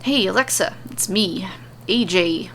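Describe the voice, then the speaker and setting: A woman voicing a secretive short robed man in a hushed, whispery voice. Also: medium pitch, unhurried. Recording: clearly noisy recording.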